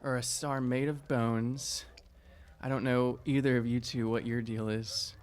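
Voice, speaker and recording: A man chatting casually as a tabletop game player, joking in a deadpan way. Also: mid-range voice, unhurried. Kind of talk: casual improvised game chat.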